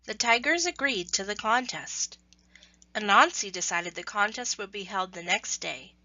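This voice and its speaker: A woman reads a children's story aloud in scripted narration, with expressive, deliberate phrasing. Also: medium pitch, dry recording, muffled recording.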